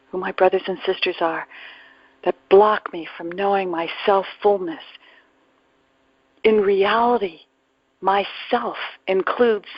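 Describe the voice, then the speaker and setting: A woman guides a spiritual meditation at a very slow pace, leaving long pauses between phrases. Her voice is breathy and soft-edged, sometimes sinking toward a whisper. The recording is dry and close, but dull and muffled. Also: mid-range voice, dry recording. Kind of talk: guided meditation, reflective spiritual talk with readings.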